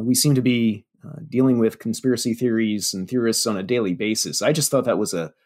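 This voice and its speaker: A man with a slightly husky voice and a Pennsylvania Dutch accent chats about research in a relaxed, informal way. His pitch moves around a lot as he talks. He fills pauses with little "uh"s and pokes fun at himself. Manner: chatty, with fillers and self-deprecating jokes. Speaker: media relations director. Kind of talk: casual podcast chat.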